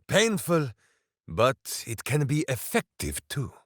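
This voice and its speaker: A big, simple-souled heroic berserker from a fantasy video game. He is bombastic and good-hearted, with slow, deliberate phrasing that rises and falls dramatically. The recording is close and dry. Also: medium pitch, huge pitch swings, no echo, heavily acted. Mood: gruff, earnest. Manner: bombastic, earnest, talks of himself in third person.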